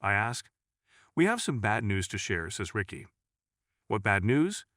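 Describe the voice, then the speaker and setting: Low-pitched, animated male storyteller. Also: unhurried, dry recording, studio quality. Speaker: storyteller reading a crime story. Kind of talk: scripted first-person narration.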